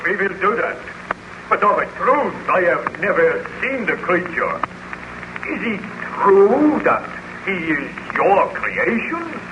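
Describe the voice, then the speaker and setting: A noisy vintage radio recording of an officious town burgomaster. His highish voice swings animatedly, stiffly formal, dutiful and sceptical, in 1930s radio style. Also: very animated, slow, heavily acted. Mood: apologetic, wondering.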